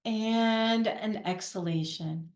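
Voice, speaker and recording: A woman guides a meditation at a slow, unhurried pace. Her voice sits at a middle pitch and she leaves gentle pauses between phrases. The recording has a noticeably roomy echo. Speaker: guided meditation leader, Reiki practitioner. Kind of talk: guided meditation, soothing instruction.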